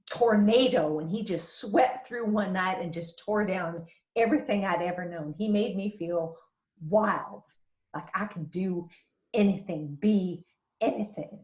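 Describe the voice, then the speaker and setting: A woman's voice: medium pitch, lightly acted. A woman playing a fearless, protective middle-aged single mother from a small town in a screenplay read, in a roomy recording. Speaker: actor in a screenplay table read. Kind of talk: dramatic screenplay table read. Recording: roomy, echoey sound.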